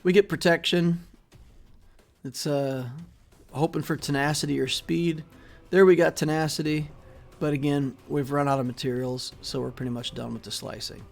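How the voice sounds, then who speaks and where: A middle-aged man talks through his mobile game progress in an easygoing, rambling way, often pausing on fillers. His voice sits a bit high and has a gravelly edge. He is recorded at his desk in a small room. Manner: relaxed, steady walkthrough with frequent fillers and trailing off. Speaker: mobile game channel host. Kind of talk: casual gameplay commentary.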